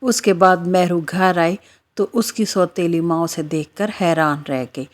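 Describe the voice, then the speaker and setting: A woman tells a scripted children's story as its narrator. Her voice sits a little low and she reads at a slow, measured pace.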